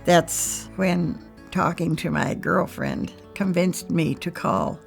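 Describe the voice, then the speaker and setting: An older woman with a low, gravelly voice gives a plain-spoken, heartfelt testimonial. Faint music plays softly underneath. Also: takes it slowly. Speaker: homeowner. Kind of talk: personal testimonial.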